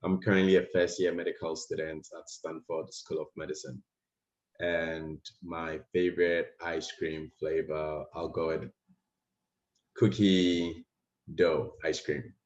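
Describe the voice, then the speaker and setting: A young man from Ghana, a medical student introducing himself on a panel in a friendly, relaxed way. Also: extremely low pitch, unhurried. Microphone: video call. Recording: roomy, dull call audio.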